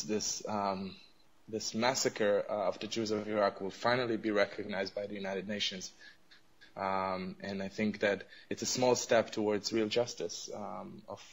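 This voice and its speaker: A young man whose first language is Arabic, answering interview questions in English with many fillers and restarts. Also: lowish voice. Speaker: interview guest.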